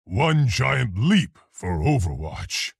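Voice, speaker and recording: An actor playing a big, earnest, awkward scientist gorilla in a video game, with a gravelly, husky voice and deliberate, scholarly phrasing. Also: male voice, mid-range voice, sing-song, no echo, studio quality, heavily acted. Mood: confident, earnest. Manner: deliberate, precise, scholarly, hesitant. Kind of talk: video game character voice lines.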